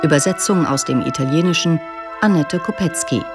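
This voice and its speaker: A man's voice: a little high, fairly quick, music in the background. A man reading a scripted title and credits announcement for a radio drama.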